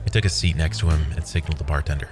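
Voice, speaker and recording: Very low male voice, weary wry programmer played by a man, scripted first-person storytelling, music underneath. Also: fairly even intonation, racing. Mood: wry, reflective. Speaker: narrator. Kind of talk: first-person scripted audio drama narration.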